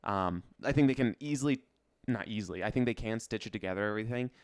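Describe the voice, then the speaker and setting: A man's voice: fairly low pitch, fast talker, smooth, dry recording, clean studio sound. A man chatting casually on a podcast in loose, very informal phrasing. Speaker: podcast co-host. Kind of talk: casual chat about pop culture.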